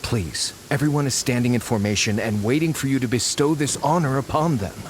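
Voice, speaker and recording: An actor playing a dutiful military subordinate in a video game, formal, deferential and earnest. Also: male voice, medium pitch, brisk, a little hiss or hum, natural voice. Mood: solemn, pleading.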